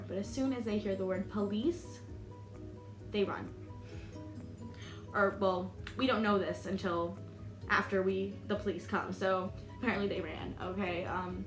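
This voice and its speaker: Brief: American woman, 20, vlogger, rambling nervous-laugh delivery; echoey room, music bed. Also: mid-range voice. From United States. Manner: chatty, many "like"s and "um"s, laughs nervously. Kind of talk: storytime vlog.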